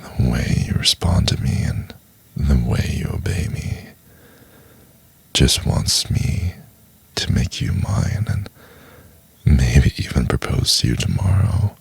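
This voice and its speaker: A man playing a domineering, possessive CEO boss in an intimate ASMR roleplay, in a gravelly, breathy half-whisper. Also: extremely low pitch, expressive, exaggerated voice. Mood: seductive, possessive. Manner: slow, commanding, intimate.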